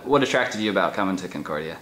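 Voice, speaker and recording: A male interviewer with a very deep voice and a bright, crisp edge asks his questions at a measured pace. He sounds thoughtful and steady throughout. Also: slight background noise.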